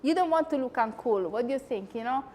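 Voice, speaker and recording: Animated Italian woman, high voice, roomy. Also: quick pace. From Italy. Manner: chatty, animated, frequent asides. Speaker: host. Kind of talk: lecture-style talk.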